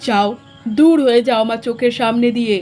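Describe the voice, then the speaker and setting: A grave storybook king acted out by a woman admonishes formally in a fairly high voice. The manner is that of a reproving father in a cartoon tale. Music plays underneath. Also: a little fast, mellow, lightly acted. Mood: stern, disappointed. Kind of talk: fairy-tale cartoon acting.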